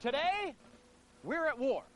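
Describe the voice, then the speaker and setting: A man's voice: extremely high pitch, sing-song, over music. A man hosting a junkyard building competition in a playful, exaggerated, folksy way. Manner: folksy and exaggerated, drawn-out shouts. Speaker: host.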